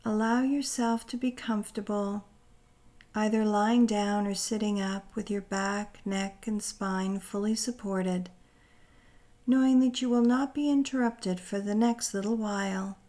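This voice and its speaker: A woman narrating a scripted guided meditation in a soothing, gentle, deliberate manner with pauses. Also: medium pitch, fairly even intonation.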